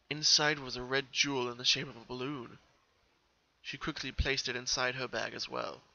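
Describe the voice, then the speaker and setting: A man's voice: medium pitch, vocal fry, sharp tone, highs cut off. A man narrating a story as a dramatic reading. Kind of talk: dramatic reading of fan fiction.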